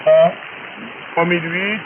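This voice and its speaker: A man's voice: high voice, fairly even intonation, takes it slowly. Man on a hissy, thin shortwave radio. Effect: shortwave radio transmission. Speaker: amateur radio operator. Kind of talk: casual chat over ham radio. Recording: narrow-band shortwave radio with clear hiss.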